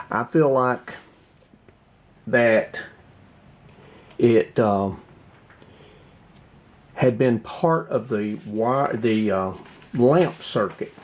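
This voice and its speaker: A man giving a casual hands-on workbench walkthrough, halting and thinking aloud as he explains. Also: medium pitch, a little mellow. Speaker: hobbyist radio restorer presenting his repair.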